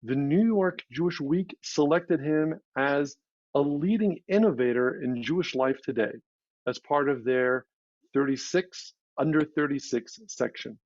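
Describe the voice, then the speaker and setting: A man's voice: fairly high pitch, unhurried, darkish tone, no room sound, muffled recording. A man delivering a prepared, formal introduction of a guest speaker as an event host on a video call.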